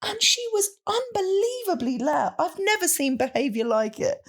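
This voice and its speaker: A woman's voice: very high voice, very animated, moderate pace. A woman co-hosting a podcast, telling personal anecdotes in a chatty, emphatic way. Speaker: podcast co-host. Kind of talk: casual chat, personal anecdotes.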